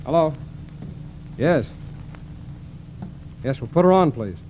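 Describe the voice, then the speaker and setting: Brisk American agent, highish voice. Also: male voice, lively intonation, phone-quality sound. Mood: businesslike. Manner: brisk, authoritative, businesslike. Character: brisk, businesslike male FBI agent in charge. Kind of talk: 1940s radio acting. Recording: noisy old 1940s radio recording.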